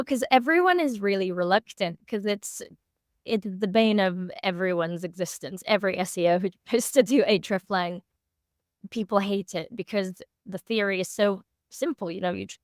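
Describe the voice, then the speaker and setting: Female, 20s, consultant being interviewed. Medium pitch, conversational, explains candidly, very little room sound. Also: no room sound, studio quality. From born in Moscow, grew up in Singapore. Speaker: SEO consultant and interview guest.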